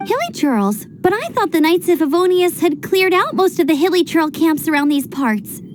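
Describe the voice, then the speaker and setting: A woman voicing an eager young female scout in a video game, brisk, upbeat and determined. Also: very high voice, big pitch swings, slight background noise. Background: game music.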